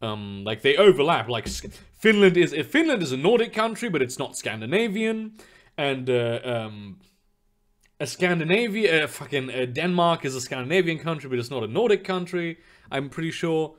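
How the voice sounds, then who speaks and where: A man's voice: fairly high pitch, sing-song, normal speed. A young man streaming live, chatting loosely with his viewers in a rambling way, full of fillers and casual swearing. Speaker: streamer reading chat.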